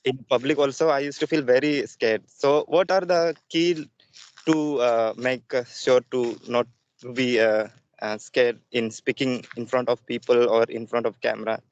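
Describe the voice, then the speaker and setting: A man's voice: mid-range voice, fairly even intonation, unhurried, clear, resonant tone, no room sound, lo-fi sound. A young man from Jharkhand whose first language is Santhali, a student practicing English on a video call, speaking hesitantly with many fillers and a polite "ma'am". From Jharkhand, India. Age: young adult.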